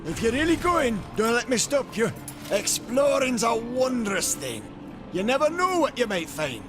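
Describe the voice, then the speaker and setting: An actor playing a boastful, wry male maker in a video game cutscene, talking in a chatty, animated way. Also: extremely high pitch, measured pace, music in the background, heavily acted. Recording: game score behind.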